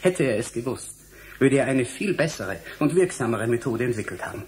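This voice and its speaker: An actor playing a young, irritable, self-absorbed scientist in a German radio drama, impatient and lecturing. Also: male voice, mid-range voice, animated, a little fast, darkish tone, a little hiss or hum, natural voice. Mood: excited lecturing.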